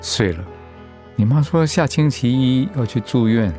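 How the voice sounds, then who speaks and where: An actor playing a gentle, steadfast, reassuring middle-aged father in a Mandarin radio drama. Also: medium pitch, lively intonation, unhurried, soft background music, lightly acted. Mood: gentle, subdued.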